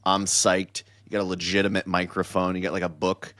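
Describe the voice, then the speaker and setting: A middle-aged man with a very deep voice hosts a loose, jokey chat. He talks in excitable bursts and rambles through his questions, and the sound is close and dry. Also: brisk, no room sound. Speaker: podcast host. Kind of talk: casual chat.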